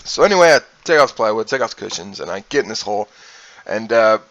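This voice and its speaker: A man's voice: on the low side, animated, quick pace, a bit bright, lo-fi sound. A man, a streamer, telling a personal story casually in a rambling way, acting out bits of dialogue as he goes. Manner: rambling, acts out quoted dialogue, mild profanity.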